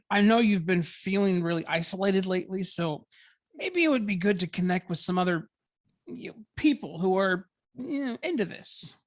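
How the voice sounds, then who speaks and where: A woman reads a young boyfriend in an informal drama table read. The character is supportive and jokey, with a halting, slightly awkward delivery that stumbles into repeated words. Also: medium pitch, no room sound, studio quality, natural voice. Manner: hesitant, stammering.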